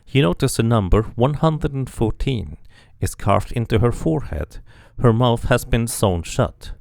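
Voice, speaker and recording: A man's voice: on the low side, vocal fry, a little mellow, no room sound. A man streaming a video game, reading its text aloud like a narrator and muttering casual asides to himself.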